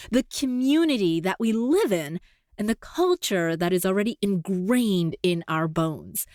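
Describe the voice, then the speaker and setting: A woman in her forties, a native Cantonese speaker, hosting a podcast in an upbeat, clear and deliberate scripted monologue. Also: fairly high pitch, no echo, studio quality. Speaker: podcast host.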